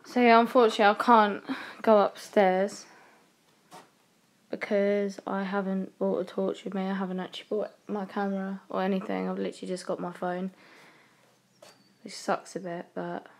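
A woman's voice: medium pitch, flat delivery, moderate pace. A young woman with a nervous, whispery manner, chatting casually while exploring an empty house on a phone live stream. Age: young adult. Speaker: urban explorer vlogger. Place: abandoned house indoors. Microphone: phone held at arm's length.

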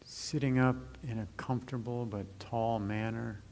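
A man leading a guided meditation as its teacher, giving gentle, measured instructions with long pauses, on a rumbly, noisy recording. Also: steady tone.

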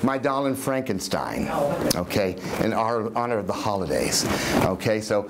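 A man's voice: on the low side, expressive, normal speed, gravelly, background noise. An older man talking theatrically to a live audience as a storyteller, addressing the room directly. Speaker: storyteller giving dramatic readings. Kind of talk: live performance patter to an audience. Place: dim parlour of a house, small seated audience.

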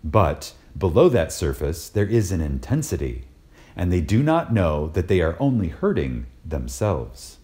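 A man reading a spiritual lecture aloud in a reverent, dramatic style, deliberate and emphatic, with pauses for effect. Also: deep voice, big pitch swings, warm tone.

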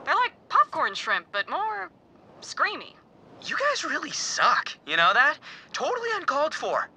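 A woman's voice: sits high, wildly swooping pitch, unhurried, sharp tone, heavily acted. An actress voicing a bratty, petulant young woman villain in a video game, whiny, mocking and slangy. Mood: sulky. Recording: game sound noise behind.

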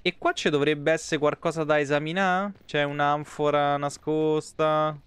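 A man's voice: fairly high pitch, little pitch movement, measured pace, a bit bright, smooth. A man, giving blunt, wry, sweary live commentary as he streams a video game. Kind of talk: casual live gameplay commentary.

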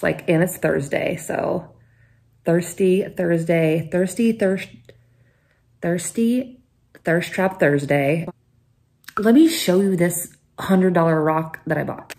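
A woman's voice: fairly low pitch, creaky, darkish tone, husky. A woman chatting casually to her phone camera in a travel vlog, playful and crude, with frequent jokes and laughter. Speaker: travel vlogger.

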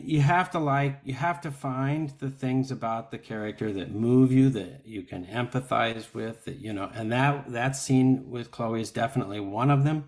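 A man speaking as an actor in a panel discussion on a video call, thoughtful and halting as he reasons aloud. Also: mid-range voice, darkish tone. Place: indoors at home on a video call. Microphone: video call microphone.

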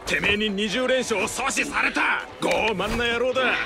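A man playing a hot-headed, boastful gang punk in a Japanese game cutscene, with rough, blustering speech. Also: high-pitched, normal speed, music in the background. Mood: furious.

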